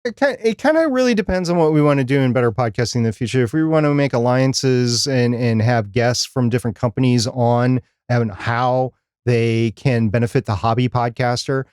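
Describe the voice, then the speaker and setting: A middle-aged man talks as a podcast co-host in an unscripted live chat. His pitch is average for a man, and his explanations wander conversationally. The sound is close and dry, with very little room. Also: normal speed, hardly any pauses, darkish tone, clear, resonant tone, dry recording.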